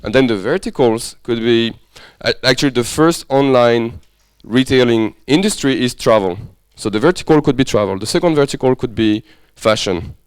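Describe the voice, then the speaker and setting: A man's voice: mid-range voice, normal speed, few pauses, clean tone, dry recording. A man with a French accent leading a business workshop, talking casually and often checking that the group follows. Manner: friendly, conversational, checks understanding often. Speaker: workshop lecturer. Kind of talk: interactive workshop teaching. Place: workshop room.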